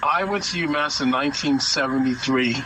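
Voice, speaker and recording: A man in his sixties from Massachusetts, a guest on a radio talk show, telling long personal stories in a halting way with many repeated words. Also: mid-range voice. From Massachusetts, USA. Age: 60s. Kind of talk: casual radio interview storytelling. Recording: noisy radio broadcast.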